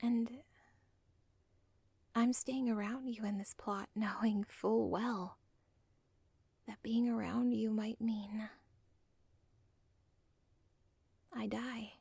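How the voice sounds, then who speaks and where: A woman playing a villain's lovestruck, self-aware daughter in an ASMR roleplay, whispering softly with a halting, deliberate, intimate delivery. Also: fairly high pitch, fairly even intonation, no echo, heavily acted. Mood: tender confession. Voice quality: soft, breathy, whispery.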